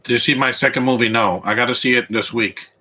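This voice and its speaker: A man's voice: mid-range voice, fast talker, pushed and bright, slightly rough. A man giving casual live commentary while watching a film at home, rambling and restarting his sentences often. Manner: rambling, stop-start with repeated restarts. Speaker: movie commentator.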